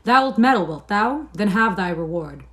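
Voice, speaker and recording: A woman's voice: lowish voice, normal speed, lightly acted. A woman voicing a bullying brute of a father. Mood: menacing. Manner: loud bullying jeers. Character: brutal drunken father, rough middle-aged man.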